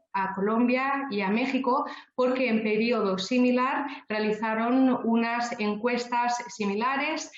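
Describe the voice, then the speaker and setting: A woman from Spain presenting a research report in a webinar, explaining in an orderly way with a few fillers. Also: on the high side. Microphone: video call. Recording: echoey room, dull video-call audio.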